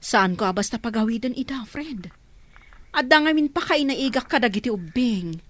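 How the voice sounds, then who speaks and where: A woman's voice: on the high side, lively intonation, normal speed, a bit bright, dry recording. A woman playing a concerned, caring guardian figure in an Ilocano radio drama. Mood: earnest. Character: concerned, caring woman looking after orphaned children.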